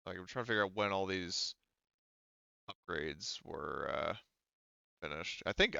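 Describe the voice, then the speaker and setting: Low, smooth-voiced man with dull audio. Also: fairly bright tone, no echo. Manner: hesitant, thinking aloud. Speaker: game master. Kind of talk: running a tabletop roleplaying game, casual.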